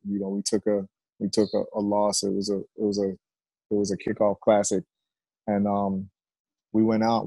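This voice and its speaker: Middle-aged male coach, mellow and gravelly, reflective and halting, casual interview. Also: fairly low pitch, no room sound, low-quality recording. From Jamaica and United States. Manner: reflective, halting with repeated words. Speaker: high school football coach, interview guest. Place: covered outdoor porch.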